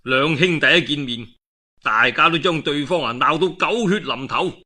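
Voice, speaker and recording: 粵語男講古佬，聲音明亮有力，抑揚頓挫. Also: male voice, fairly high pitch, normal speed. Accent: Guangdong Cantonese. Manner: colloquial, expressive, dramatic with frequent emphatic particles. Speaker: storyteller of a classical historical novel. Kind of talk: animated traditional oral storytelling narration.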